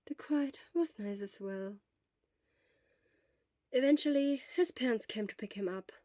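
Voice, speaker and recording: A woman's voice: a little high, a little mellow, no echo, clean studio sound. A woman reading a scripted story aloud as a narrator. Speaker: podfic reader.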